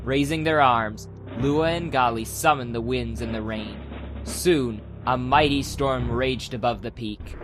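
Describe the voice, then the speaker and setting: A man's voice: mid-range voice, measured pace. Male audiobook narrator over music. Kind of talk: scripted dramatic audiobook narration. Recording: music behind the voice.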